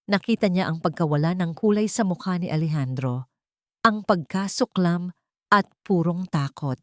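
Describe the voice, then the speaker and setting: A woman reading a scripted dramatic story in a measured, deliberate way, with short pauses for effect. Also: lowish voice, dry recording, clean studio sound. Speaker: story narrator.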